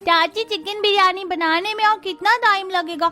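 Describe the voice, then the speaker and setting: Whiny little cartoon boy, super high and piercing, pushy about what he wants. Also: steady tone, moderate pace, bright, forceful tone. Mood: impatient. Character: stubborn little schoolboy who pesters for his food. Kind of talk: Hindi cartoon story dialogue.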